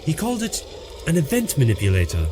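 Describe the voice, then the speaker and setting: An actor playing an earnest, commanding time-traveler hero in an audio drama, authoritative and excitable. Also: male voice, a little high, quick pace, noisy background. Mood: grave.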